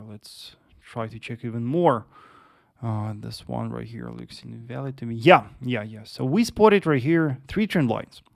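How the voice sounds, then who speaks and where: A man's voice: medium pitch, vocal fry, no room sound, studio quality. A young man from Russia with a Russian accent, hosting a live trading analysis stream and answering viewers' chat questions patiently, with frequent "uh" and "yes.". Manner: patient, repetitive, lots of uh and yes. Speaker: trading livestream host.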